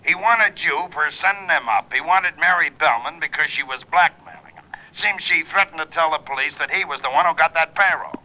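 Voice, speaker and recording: An actor playing a brisk police lieutenant in a 1950s radio drama. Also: male voice, a little high, natural voice. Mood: businesslike. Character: gruff male police lieutenant. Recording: dull old radio broadcast.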